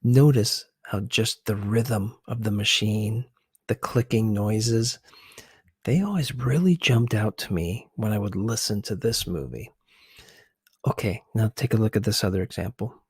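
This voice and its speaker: A man with a lowish, husky voice talks softly and without hurry, like an ASMR host commenting on clips. He is a little hesitant, and the sound is dry and close. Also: a little slow, no echo. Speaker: host of ASMR video channel.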